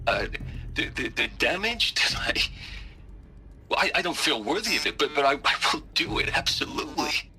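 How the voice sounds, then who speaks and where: A stammering remorseful scientist. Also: male voice, mid-range voice, very animated, pushed and bright, exaggerated voice. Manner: stammering, halting, full of restarts. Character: remorseful male scientist seeking atonement. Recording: game audio with faint music.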